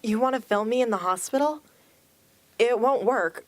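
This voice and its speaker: A woman's voice: on the high side, normal speed, no room sound, lightly acted. A woman playing a guarded, self-doubting teenage girl soldier in an amateur radio drama. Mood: subdued, unsure.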